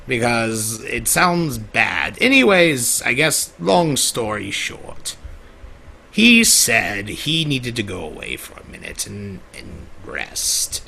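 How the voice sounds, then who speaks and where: Ancient male jester-spirit played by a man, gravelly and husky, slow and swooping, theatrical. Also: medium pitch, sharp tone, slight background noise, heavily acted. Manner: theatrical, flamboyant, rambling. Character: centuries-old male pony-world trickster, theatrical jester. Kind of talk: live roleplay monologue to an audience.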